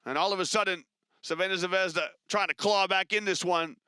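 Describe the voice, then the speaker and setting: Guy doing basketball play-by-play, bright crisp voice, dead dry sound. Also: high-pitched, no room sound. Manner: brisk, chatty, rises with excitement on big plays. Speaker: play-by-play commentator. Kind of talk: live basketball commentary.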